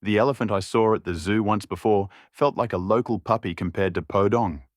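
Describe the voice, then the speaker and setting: Low male voice reading out a novel, really dry close sound. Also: fairly quick, no echo. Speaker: audiobook narrator. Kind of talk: scripted story narration.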